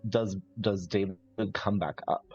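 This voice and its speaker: A man improvising story narration as a player in a live tabletop roleplaying game, with halting, searching, thoughtful phrasing. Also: sits low, steady tone, a little mellow, slightly rough, muffled recording, soft background music.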